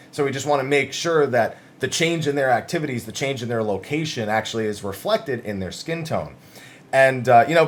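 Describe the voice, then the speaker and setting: Male gaming news host, mid-pitched, conversational and emphatic, casual commentary full of wordy asides. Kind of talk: casual news commentary, talking through interview summaries.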